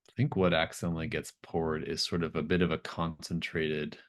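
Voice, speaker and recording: Very deep-voiced man running a tabletop game. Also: little pitch movement, brisk. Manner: hesitant, thinking aloud, conversational. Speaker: game master. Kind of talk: improvised tabletop game narration.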